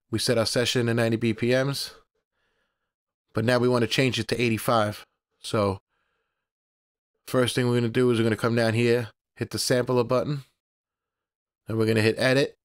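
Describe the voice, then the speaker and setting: A man presenting a casual step-by-step software tutorial in a plainspoken, informal, instructional way. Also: mid-range voice, level tone, moderate pace, long pauses, clear, resonant tone, no room sound, clean studio sound.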